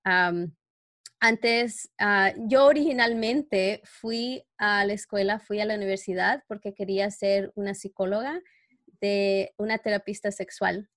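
A middle-aged woman from New York speaking in a personal, reflective way as an interview guest, haltingly searching for her words. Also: medium pitch, fairly bright tone. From grew up in New York. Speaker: interview guest, educator for parents who are abuse survivors.

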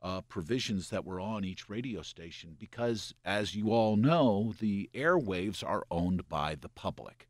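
Deep gravelly older man, dry studio mic. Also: a little slow, hardly any pauses, no echo. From Pennsylvania. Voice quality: gravelly from scotch and cigarettes. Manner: relaxed storyteller, explains with asides and "you know". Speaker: radio program director, guest. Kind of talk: casual interview storytelling.

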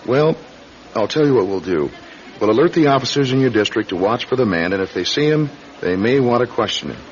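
A man's voice: medium pitch, lively intonation, brisk, close to an everyday voice. Man playing an earnest young cop, muffled radio. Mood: patient, procedural. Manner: polite, earnest, procedural questioning. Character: earnest, conscientious young male police officer. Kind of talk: radio drama acting. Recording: dull radio recording with background hiss.

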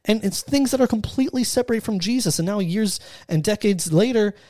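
A man co-hosting a casual faith podcast, talking earnestly with halting restarts. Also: high-pitched, a little mellow, no room sound. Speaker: podcast co-host.

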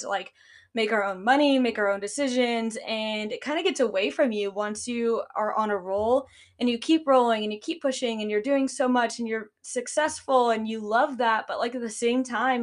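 A young woman hosting her own podcast, talking casually about running a business, with a stuffy, nasal sound and lots of "like" and "um" fillers. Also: a little high. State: congested, nasal. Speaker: podcast host, business owner. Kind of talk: casual solo podcast talk.

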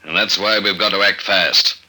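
An actor playing a businesslike government commissioner, brisk and authoritative, in 1950s radio drama style. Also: male voice, sits low, fairly even intonation, pushed and bright, heavily acted, rough recording. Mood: urgent, businesslike. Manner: brisk, authoritative, matter-of-fact. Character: businesslike male government commissioner briefing his agent.

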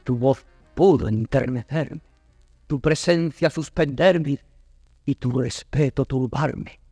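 An actor from playing a wretched, wild young captive prince in solemn, declamatory 1950s classical verse drama. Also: male voice, medium pitch, slow pace, warm tone, breathy edge, dry recording, faint music behind. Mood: awed, sorrowful.

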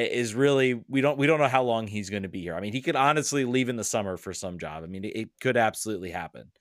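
A man guesting on a podcast, his voice sitting a little low. He talks in a loose, halting way and doubles back on words as he works out his point. The sound is dry, with no room around it. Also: fairly bright tone, no echo. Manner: hesitant, repeats words while thinking aloud. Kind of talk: casual chat.

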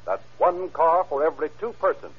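A man announcing in a formal, emphatic 1930s radio style, delivering scripted narration and sponsor pitches. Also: very animated, brisk, breathy edge. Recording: muffled, scratchy 1930s radio recording.

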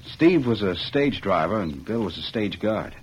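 An actor playing a wronged young cowboy ex-convict in a vintage radio western, speaking plainly and earnestly. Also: male voice, fairly low pitch, animated, normal speed, breathy edge. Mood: bitter, earnest. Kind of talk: 1940s-50s radio western acting. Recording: muffled, hissy old radio recording.

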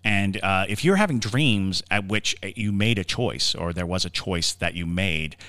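Deep-voiced man musing on a podcast. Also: bright, forceful tone. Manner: musing, explanatory, halting with repeated words. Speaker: podcast co-host. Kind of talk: conversational paranormal Q&A discussion.

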